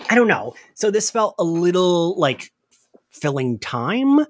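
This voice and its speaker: A man's voice: high voice, sing-song, measured pace, no room sound, clean studio sound. A man co-hosting a casual recap podcast, chatty and giggly, peppering his talk with "like". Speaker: podcast co-host.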